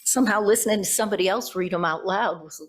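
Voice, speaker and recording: A woman delivers a sermon from the pulpit. She moves between prepared remarks and chatty asides to her congregation, correcting herself as she goes. Her pitch sits in a middle range for a woman. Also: fast talker, a little hiss or hum. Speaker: pastor. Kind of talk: sermon, conversational with scripted passages. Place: church pulpit.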